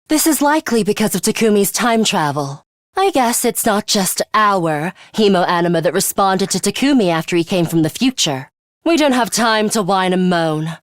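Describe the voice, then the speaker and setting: A woman performs a young female fighter from a video game, stern and composed. Her delivery is measured and matter-of-fact, with blunt, pragmatic phrasing. The voice sits at a medium pitch and is recorded very dry and close. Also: no echo, natural voice. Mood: commanding. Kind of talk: English video game voice acting.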